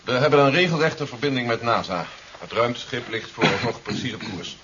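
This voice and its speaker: Fast-talking general played by a man, dull old recording. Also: mid-range voice, lively intonation, natural voice. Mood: brisk. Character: brisk, authoritative male general planning a secret defence operation. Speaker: radio drama actor. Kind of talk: 1970s Dutch radio play acting. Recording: dull, hissy 1970s radio recording.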